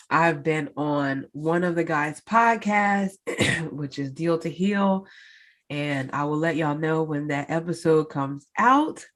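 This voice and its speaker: Woman, low voice, slow pace, nasal from congestion, chatty podcast talk. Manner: chatty, many fillers, addresses listeners directly. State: congested, stuffed-up nose. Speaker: podcast host. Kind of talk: casual solo podcast update.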